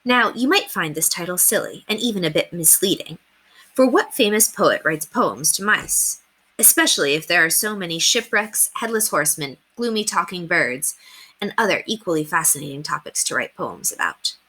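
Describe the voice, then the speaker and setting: A woman reading a children's story aloud as a teacher would, with deliberate emphasis on key words. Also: on the low side, lively intonation, bright, forceful tone, husky. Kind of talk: expressive storybook read-aloud narration.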